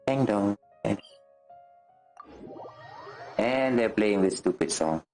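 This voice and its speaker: A man's voice: lowish voice, lively intonation, slow pace, darkish tone. A man doing informal, sweary gameplay reaction commentary as a streamer. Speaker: game streamer. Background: game music.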